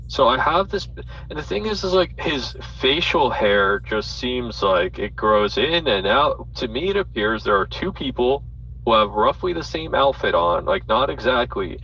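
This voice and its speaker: A man's voice: mid-range voice, big pitch swings, measured pace, bright, forceful tone, far from the mic. A man hosting a live audio chat, rambling and swearing as he thinks aloud, with plenty of fillers. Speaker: host. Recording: dull audio from off mic with faint noise.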